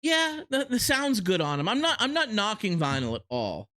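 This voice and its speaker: Man, middle-aged, cartoonish voice, fairly high, very lively pitch, playful and enthusiastic. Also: normal speed. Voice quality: cartoon-character voice. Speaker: podcast co-host. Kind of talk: casual chat.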